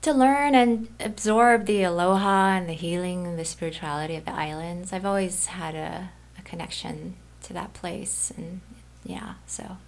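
A woman from Brazil, a healer, talking as a guest in a relaxed interview, soft-spoken and laid-back, with lots of fillers. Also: fairly low pitch, moderate pace, few pauses. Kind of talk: casual interview chat.

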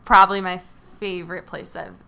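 A woman's voice: on the low side, flat delivery, a little fast, gravelly, sharp tone, clear, resonant tone. A woman co-hosting a podcast, chatty and giggly in casual conversation. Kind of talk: casual chat.

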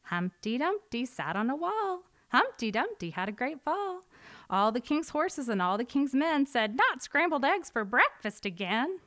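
A woman voicing a tiny, clever, cheeky male wren in a storybook tale, in a squeaky singsong voice. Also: sing-song, a little slow, a bit bright, dry recording, studio quality, full character voice.

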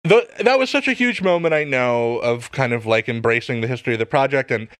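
A man's voice: mid-range voice, lively intonation, fairly quick, a bit bright, dry recording. A man interviewing conversationally, asking long, chatty questions.

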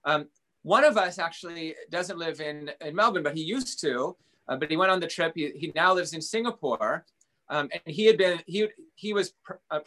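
A man's voice: fairly high pitch, fairly bright tone. A middle-aged man, a rabbi, chatting casually about interfaith ties, full of fillers and restarts. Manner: halting, many fillers and restarts.

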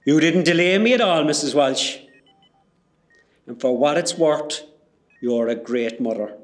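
Man playing kindly hospital porter, Cork Irish accent, slightly high voice, gentle, apologetic, radio drama. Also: expressive, a little slow. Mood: gentle, consoling. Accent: Irish, County Cork. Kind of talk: amateur radio play acting.

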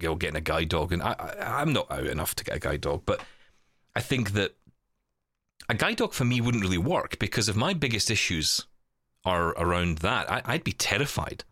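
A man's voice: low-pitched, fairly bright tone, dry recording, studio quality. A man chatting casually as a podcast host, candid and a little hesitant, often repeating his words. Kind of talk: casual chat.

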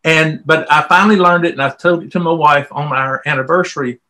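A man's voice: a little high, steady tone, fairly quick, bright, forceful tone. A middle-aged man with a Southern US accent, telling personal stories in a folksy, conversational way as an interview guest. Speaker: volunteer interviewee.

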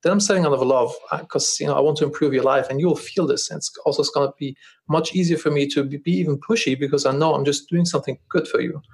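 Gravelly-voiced man with a German accent. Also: fairly high pitch, fast talker. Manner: earnest, repeats words as he restarts. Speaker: podcast guest, business founder. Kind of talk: casual interview talk.

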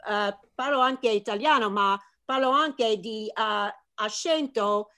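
A middle-aged woman, an author, talking in a lively, chatty way and slipping into bits of Italian. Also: on the high side, a little slow. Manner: animated, chatty, slips into Italian phrases. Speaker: author guest. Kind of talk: casual video chat.

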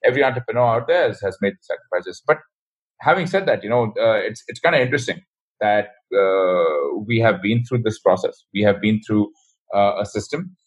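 Fast-talking man with a husky voice. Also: fairly low pitch, lively intonation, rough recording. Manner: fast, rambling, frequent restarts. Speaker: guest, ecommerce founder. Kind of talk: casual interview talk.

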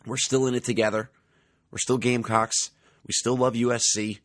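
A young man, hosting a sports podcast in a casual, chatty monologue, his voice slightly raspy. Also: medium pitch, level tone, moderate pace, fairly bright tone, no echo, studio quality. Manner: chatty, conversational, many fillers. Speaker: podcast host.

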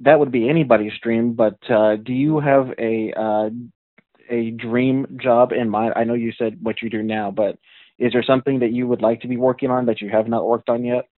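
A man's voice: medium pitch, fairly even intonation, moderate pace, dry recording. A man hosting a casual interview, asking friendly, chatty questions. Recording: dry, phone-like audio.

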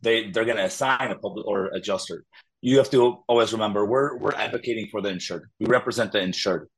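Laid-back guy in his 30s, lowish voice, lots of ums, chatting as a podcast guest. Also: quick pace, slightly rough, rough recording. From Poland. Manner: easygoing, laughs easily, many fillers. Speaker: guest, public adjuster. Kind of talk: casual interview talk.

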